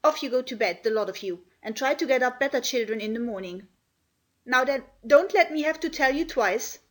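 Woman playing a high, scolding, indignant housemaid. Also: animated, brisk. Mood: angry. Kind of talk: children's storybook character voice.

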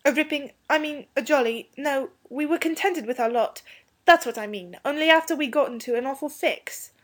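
A woman's voice: high-pitched, moderate pace, voice pushed up, close to an everyday voice. A woman voicing an eager young English schoolboy in a storybook, earnest and hasty, stumbling over his slangy words. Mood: flustered explaining. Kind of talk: storybook character dialogue.

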